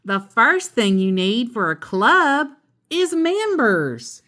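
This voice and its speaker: A woman voicing a sensible, patient mother bear in a storybook, speaking in a measured, instructive way. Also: fairly high pitch, sing-song, pushed and bright, pitched above the performer's own voice, full character voice. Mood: suggesting. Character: sensible, patient mother bear giving gentle moral lessons.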